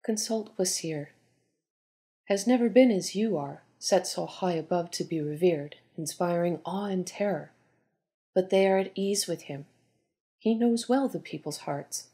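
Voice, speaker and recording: A woman playing a poised, pragmatic Egyptian goddess queen and oracle in an audio drama, with measured, formal delivery. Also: lowish voice, dark tone. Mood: sympathetic. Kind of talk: dramatised audio story reading.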